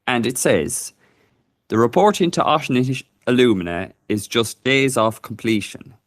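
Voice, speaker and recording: A man's voice: mid-range voice, expressive, a little slow, no room sound, clean studio sound. A man co-hosting a casual live stream, speaking hesitantly and reading a news report aloud. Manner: hesitant, many fillers and restarts. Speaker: co-host.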